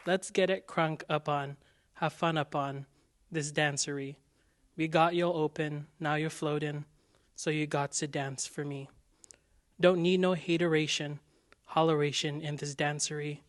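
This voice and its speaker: A man's voice: on the high side, fairly even intonation, measured pace. A man giving a dramatic spoken reading of verse. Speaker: news reporter reading lyrics.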